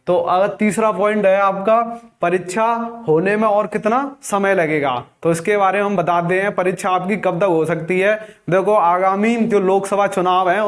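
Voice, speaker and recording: A man giving an instructive exam-guidance lesson, addressing viewers as friends and repeating key numbers. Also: high voice. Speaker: exam coaching teacher. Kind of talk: explanatory exam guidance lecture.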